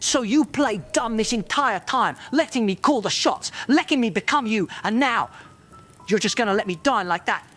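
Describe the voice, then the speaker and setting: An actor playing a bitter, betrayed wizard in an audio drama, emotional and reproachful. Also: male voice, sits high, expressive, normal speed, fairly bright tone, soft background music. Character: bitter, betrayed wizard turned into another man. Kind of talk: fan audio drama acting.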